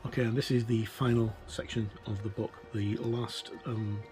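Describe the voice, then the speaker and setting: Middle-aged man, lowish voice, casual reviewer commentary, hesitant, self-correcting, faint music behind. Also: little pitch movement, moderate pace, darkish tone. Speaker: game reviewer. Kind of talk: casual book walkthrough commentary.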